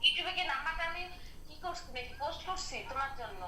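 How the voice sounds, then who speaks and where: A woman's voice: high-pitched, fast, bright, forceful tone, slight background noise. A woman speaking over a phone on speaker, chatting with a caller in a puzzled but friendly way. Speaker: YouTuber receiving a call. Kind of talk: phone conversation. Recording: echoey speakerphone from a distance.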